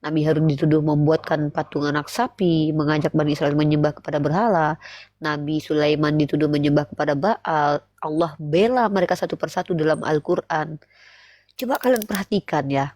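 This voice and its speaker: A woman's voice: sits low, brisk, a little mellow. A woman, speaking didactically in a live online religious debate and putting rhetorical questions to listeners. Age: 30s.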